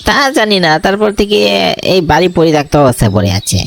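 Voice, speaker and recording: A man's voice: high voice, sing-song, brisk. Man playing an easygoing young friend, music behind. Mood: matter-of-fact. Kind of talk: horror cartoon dialogue.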